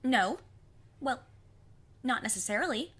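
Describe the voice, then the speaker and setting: A woman's voice: on the high side, animated, a little slow, noisy background. A woman playing an earnest, plucky fifteen-year-old girl in an audio drama, with a literal, matter-of-fact manner. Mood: hopeful, earnest.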